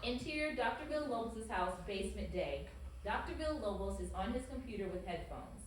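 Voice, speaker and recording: A woman's voice: medium pitch, lively intonation, brisk. A woman reading out stage directions as narrator at a script table read. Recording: very echoey room, distant mic, noisy.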